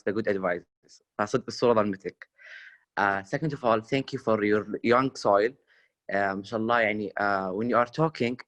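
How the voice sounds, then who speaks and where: A man's voice: lowish voice, a bit bright, no room sound. A nineteen-year-old man with a Saudi Arabic accent, talking casually on a video call and mixing Arabic and English with many fillers. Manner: fast code-switching between Arabic and English, many fillers. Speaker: student guest asking a question.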